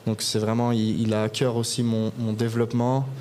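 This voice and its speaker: A young man in his twenties, a guest hockey player, giving even, unhurried interview answers with frequent pauses at a live podcast event in a bar. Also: mid-range voice, slight background noise.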